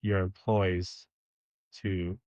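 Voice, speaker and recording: A man's voice: deep voice, flat delivery, extremely slow, darkish tone, dry recording, lo-fi sound. A man, hosting a podcast interview with friendly, conversational questions. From Minnesota. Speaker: podcast host.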